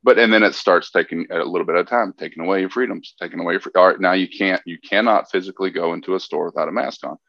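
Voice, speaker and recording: Man, very deep voice, halting, earnest, dull lo-fi sound. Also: vocal fry, no room sound. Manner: halting, restarts often, earnest. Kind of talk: casual chat.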